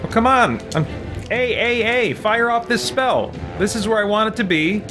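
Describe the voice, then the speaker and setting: Guy doing casual game commentary, slightly high voice, halting and musing, loud game music behind. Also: animated. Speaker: let's play host.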